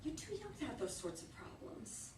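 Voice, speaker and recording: Play reading: actress as nonchalant patrician daughter, forties, highish voice, brisk, noisy roomy recording. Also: female voice, huge pitch swings. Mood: dismissive, reassuring. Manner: brisk, questioning, dismissive. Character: self-confident, nonchalant aristocratic daughter in her forties. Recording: echoey noisy room, distant mic.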